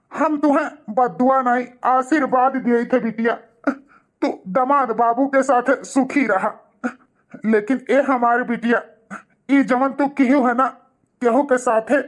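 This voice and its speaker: A man acts out an older, humble village father in a cartoon family melodrama. He speaks with an Awadhi accent, sentimental and resigned to his sorrow. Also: extremely high pitch. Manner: stammering when shaken, sentimental.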